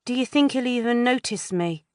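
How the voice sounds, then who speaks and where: A woman's voice: a little high, normal speed, no room sound, clean studio sound, voice pushed up, natural voice. A woman voicing a shy, anxious teenage girl in a storybook reading. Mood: fretful. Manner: nervous, fretting.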